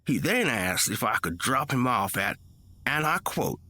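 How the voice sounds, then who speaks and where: A man's voice: medium pitch, big pitch swings, unhurried, bright, forceful tone, dry recording. A man reading a first-person story in a dramatic, conversational, emphatic storytelling style. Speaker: story reader. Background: music.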